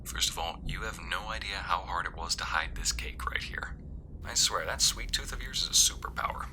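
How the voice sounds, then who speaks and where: Male actor as affectionate, teasing waiter boyfriend for ASMR roleplay; low, hushed, breathy delivery, noticeable ambient noise. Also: fairly quick, lightly acted. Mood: fond, teasing. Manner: soft, breathy, near-whispered, affectionate. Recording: clearly audible background noise, ambience.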